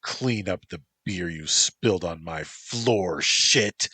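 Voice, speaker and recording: A man's voice: lowish voice, sing-song, takes it slowly, breathy edge, no echo, pitched above the performer's own voice, heavily acted. A man putting on a cruel, volatile young woman. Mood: furious. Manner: swings from cooing to screaming.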